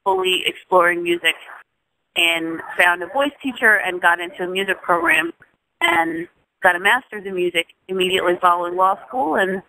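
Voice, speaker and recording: A woman's voice: on the low side, lively intonation, moderate pace, vocal fry, poor audio. A woman in her thirties, a singer from Jamaica, speaking as an interview guest in a thoughtful, casual way, restarting phrases often. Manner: thoughtful, with frequent restarts and soft laughs.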